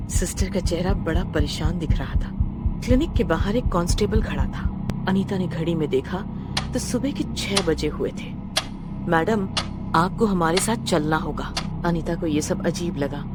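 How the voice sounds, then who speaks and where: Lively woman narrator over loud music. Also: on the low side. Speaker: storyteller, narrator. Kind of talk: dramatic scripted horror story narration. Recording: loud music bed behind voice.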